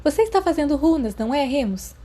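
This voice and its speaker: A woman's voice: sits high, animated, fast, warm tone, voice pushed up. A woman voicing a kind, self-assured, studious teenage schoolgirl in an audiobook, firm and forthright. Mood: curious.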